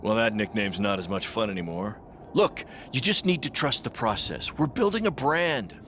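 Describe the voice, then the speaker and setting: An actor playing an upbeat, defensive boss of a small wrestling promotion in a video game, reassuring and folksy. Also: male voice, lowish voice, expressive, moderate pace, a bit bright, slight background noise. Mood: coaxing. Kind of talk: video game cutscene acting.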